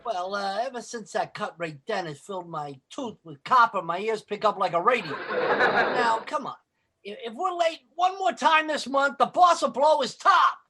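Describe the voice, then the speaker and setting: An actor playing a working-class next-door pal in an old-time radio comedy, dry-witted and mock-noble, with rough grammar. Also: male voice, very high-pitched, moderate pace, pushed and bright, slight background noise. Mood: grumbling. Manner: wisecracking, ungrammatical, mock-noble.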